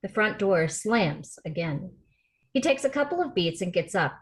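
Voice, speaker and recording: A woman's voice: mid-range voice, sing-song, normal speed. A woman reading stage directions as the narrator at a film script table read. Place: remote video call rehearsal.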